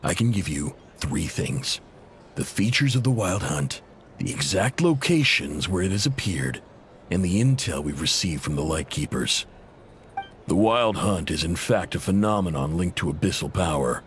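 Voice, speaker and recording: A man's voice: low-pitched, expressive, normal speed, slight background noise. A man playing a proud, indebted intel broker in a video game, blunt, casual and guarded, with an exasperated edge. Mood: guarded, informative.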